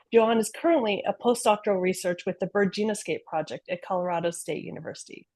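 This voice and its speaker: A woman's voice: mid-range voice, no echo. A woman as program chair, reading a friendly introduction for a guest speaker on a video call.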